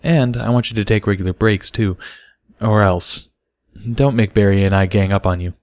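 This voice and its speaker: A man's voice: lowish voice, steady tone, normal speed, darkish tone, no echo, clean studio sound, lightly acted. A man voicing a sweet, motherly pegasus mare with an affectionate, playfully bossy manner for a storybook. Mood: teasing, affectionate.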